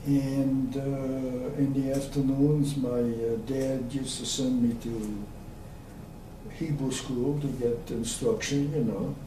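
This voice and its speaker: A man, recalling his life in an oral history interview, slow and hesitant with many pauses. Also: medium pitch, little pitch movement. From Austria. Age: 90s. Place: room at a community center. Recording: echoey room, distant mic, faint hiss.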